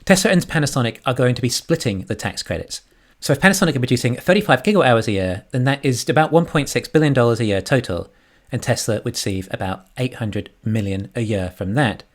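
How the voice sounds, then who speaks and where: A man's voice: medium pitch, lively intonation, fast. A man giving scripted financial commentary as an online analyst and narrator. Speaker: YouTube stock analyst.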